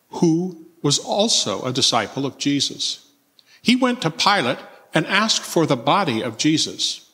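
A man narrates scripture at a church reading in a solemn, measured way. His pitch is medium and his pace is slow. Long reverb from a large space surrounds his voice. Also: fairly bright tone.

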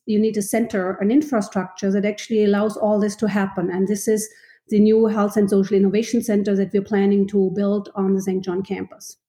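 A woman from Germany with a German accent, a university vice president answering interview questions in a thoughtful, measured way with frequent pauses. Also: mid-range voice, mellow, echoey room. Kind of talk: podcast interview.

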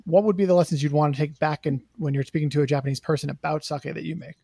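A man's voice: on the high side, steady tone, quick pace, vocal fry, dark tone, slightly rough, no room sound, studio quality. A man interviewing a guest, firing off long run-on questions.

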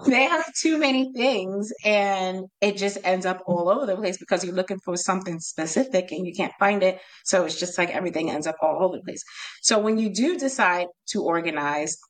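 A woman's voice: mid-range voice, poor audio. A woman with a New York accent, a home organizer as podcast guest, chatting casually and laughing often. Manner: laughs often, talks in bursts with pauses.

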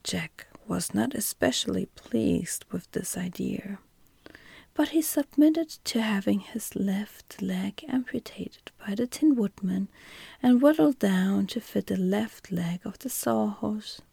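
A woman hosting a bedtime story podcast, reading a chapter aloud in a slow, soft whisper. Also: medium pitch, animated, creaky, slightly rough, no echo.

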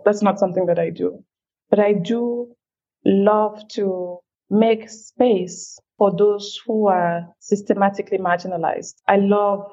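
A woman from Cameroon speaking as an interview guest at a middling pitch. She talks in a thoughtful, measured way, speeding up on side remarks. The audio sounds a little dull and lo-fi. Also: warm tone. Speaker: guest, feminist leader. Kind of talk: reflective interview answers.

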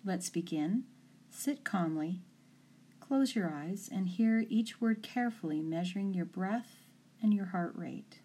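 Slow, mellow woman guiding imagery. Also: mid-range voice, slightly rough. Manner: calm, deliberate, instructing. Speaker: sports mental coach. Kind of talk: guided imagery meditation for athletes.

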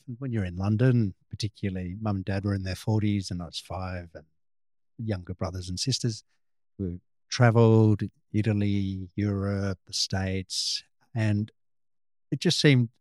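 A man's voice: on the low side, dry recording, studio quality. A man from Australia, a photographer, chatting casually as an interview guest, rambling and restarting his sentences. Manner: relaxed, rambling with frequent restarts.

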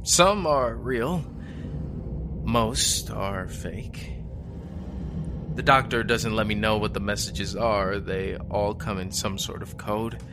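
A man playing a lonely, wistful survivor of a ruined world in an audio drama monologue, musing in a halting, wry way. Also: lowish voice, noisy background.

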